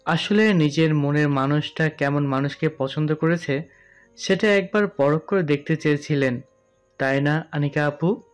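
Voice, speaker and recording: A devoted young man from a romantic audio drama, played by a man. His voice sits a little high and carries plenty of emotion. Soft music runs behind it. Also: a little slow, close to an everyday voice. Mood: probing. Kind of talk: Bengali romantic audio story acting. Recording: music under the voice.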